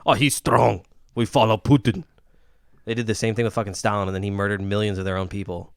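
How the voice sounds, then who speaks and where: A man's voice: fairly low pitch, fairly even intonation, fast talker, gravelly, dry recording, clean studio sound. A man co-hosting a podcast in crude casual banter, opinionated and prompting the others. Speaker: podcast co-host.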